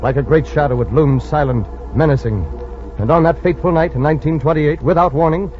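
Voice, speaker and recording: A man's voice: a little high, a little fast. A man narrating a scripted 1940s radio drama in a dramatic, grandiose, solemn manner. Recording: muffled old radio recording with music.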